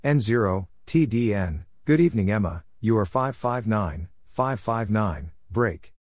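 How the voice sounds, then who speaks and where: A man reading out scripted radio exchanges in a measured way, as narrator of a practice lesson. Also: on the low side, animated, dry recording. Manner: measured, spelling out call signs piece by piece. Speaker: Morse code practice narrator.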